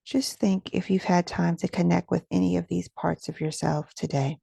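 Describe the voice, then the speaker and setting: A woman leading a guided meditation as its teacher, speaking in a gentle, soothing, instructional manner with soft pauses. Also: fairly low pitch, steady tone, vocal fry, breathy edge, no echo.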